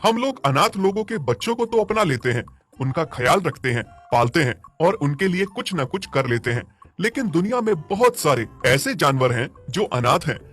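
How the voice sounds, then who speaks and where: A man as a kindly, husky-voiced villager. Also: on the high side, big pitch swings, moderate pace, a bit bright. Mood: earnest. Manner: earnest, reassuring. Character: kind, principled village man who cares for animals. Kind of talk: animated moral story dialogue.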